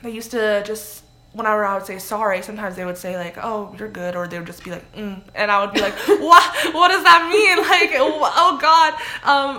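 Bright-voiced chatty young woman. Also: medium pitch, fairly quick. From Canada. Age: young adult. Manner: chatty, many "like" fillers, animated quoting. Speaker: interview guest. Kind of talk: casual interview.

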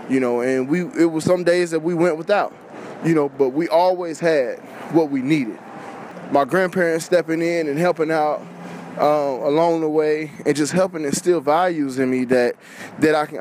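Earnest man, slightly high voice. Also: a little mellow, a little hiss or hum. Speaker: podcast guest, business owner. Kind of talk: informal personal storytelling.

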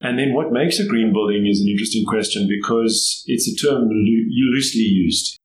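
A middle-aged man with a South African accent, answering interview questions in a thoughtful, measured way with pauses to choose his words. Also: lowish voice. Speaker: guest. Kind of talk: interview conversation. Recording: echoey room, faint background noise.

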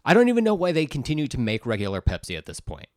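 Man, animated intonation, casual comedic banter, crude jokes, enthusiastic, podcast co-host, dry room sound. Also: medium pitch, rapid-fire, no echo. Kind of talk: casual comedic banter, taste-testing sodas.

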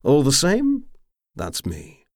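A man's voice: a little high, huge pitch swings, takes it slowly, no echo, clean studio sound, voice pushed up, full character voice. A man voicing a tipsy, roguish mercenary commander in an audiobook, drawling and rambling with boastful charm. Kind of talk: audiobook character acting.

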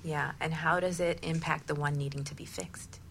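A woman's voice: deep voice, fairly even intonation, normal speed, creaky, fairly bright tone, husky. A woman hosting a conversational radio interview, affirming and summing up points as she goes. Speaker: talk show host.

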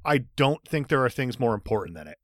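A man co-hosting a casual movie podcast, teasing his partner with quick jokes and asides. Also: medium pitch, very animated, no room sound, studio quality. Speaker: podcast co-host.

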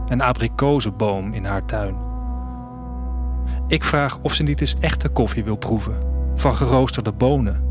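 A man playing a restless dreamer who longs to travel, speaking in a reflective, intimate audio drama monologue. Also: medium pitch. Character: restless young dreamer of 2104 longing to travel.

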